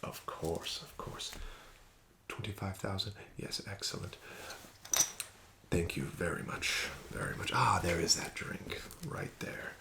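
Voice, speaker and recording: A man doing an ASMR casino dealer roleplay, with smooth, flattering, unhurried patter. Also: low-pitched, background noise.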